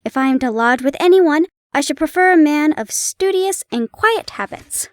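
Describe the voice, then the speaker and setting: A woman voicing a weary male army doctor who speaks in a measured, polite and earnest way. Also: high voice, animated, dry recording, studio quality.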